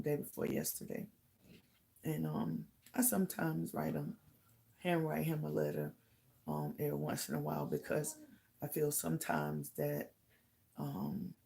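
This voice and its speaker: A middle-aged woman with a slightly raspy voice chatting casually to live viewers as host, halting and pausing as she goes. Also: sits low, darkish tone. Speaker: host of a livestream talk channel.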